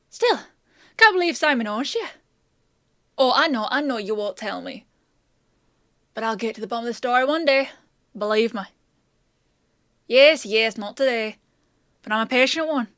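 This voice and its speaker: Woman playing a high-voiced, chatty, teasing apothecary. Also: fairly bright tone, no room sound, clean studio sound. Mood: candid, teasing. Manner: chatty, teasing, explanatory, addresses listener fondly. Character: chatty, confident, teasing young-hearted country apothecary woman, protective mentor. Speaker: voice actor in a fantasy audio roleplay. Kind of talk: one-sided roleplay acting addressed to the listener.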